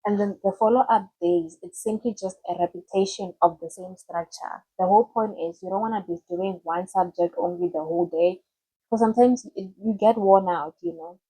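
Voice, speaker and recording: A woman's voice: medium pitch, moderate pace, dark tone, husky, rough recording. A young woman giving casual study-planning tips to camera, chatty and loose, often restarting her sentences. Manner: chatty, loose and self-correcting, with frequent restarts. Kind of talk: casual explanatory tutorial.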